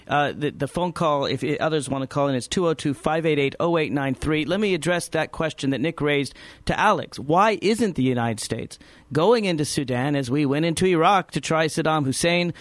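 A man's voice: a little high, normal speed, dry recording. A man hosting a radio call-in show, taking calls and putting direct questions to his guest.

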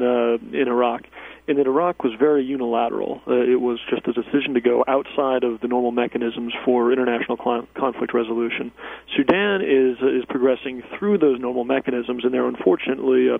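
A radio guest lays out policy matters in a calm, measured way, often pausing on an uh. His voice is gravelly with fry, and it comes through a narrow, phone-like line. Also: mid-range voice. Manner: measured, explanatory, frequent uh fillers. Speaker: guest expert. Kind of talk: policy analysis on air.

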